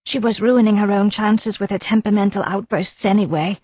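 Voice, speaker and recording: A woman voicing an anxious, defensive middle-aged wife and mother who talks in a flustered, high-strung way. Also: fairly even intonation, brisk, a little mellow, breathy edge, no echo, natural voice.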